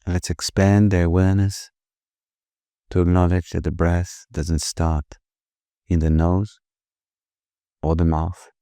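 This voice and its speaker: A man leading a guided meditation in a slow, gentle, soothing manner, with pauses between phrases. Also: low-pitched, little pitch movement, no room sound, clean studio sound.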